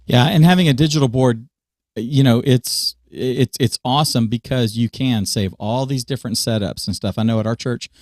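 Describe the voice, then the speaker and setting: A man's voice: mid-range voice, moderate pace, darkish tone, no room sound, studio quality. A man co-hosting a casual podcast chat about church media, explaining sound setups through everyday church examples.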